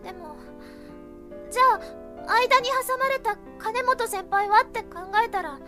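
A woman playing a timid but earnest first-year high school girl in a voice drama, speaking in a halting, polite, flustered way. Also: pushed and bright, soft background music, heavily acted. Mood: hesitant, earnest. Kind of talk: Japanese voice drama acting.

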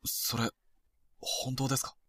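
A man playing an earnest, lovelorn high school boy in a Japanese voice drama, speaking as a polite but casual junior. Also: a little slow, bright, forceful tone, no echo, far from the mic. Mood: startled, unsure. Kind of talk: Japanese romantic-comedy voice drama acting.

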